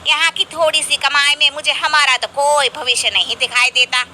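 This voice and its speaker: Woman playing a shrill, pushy young wife. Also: fairly even intonation, moderate pace, sharp tone, slight background noise. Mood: nagging. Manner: nagging, emphatic.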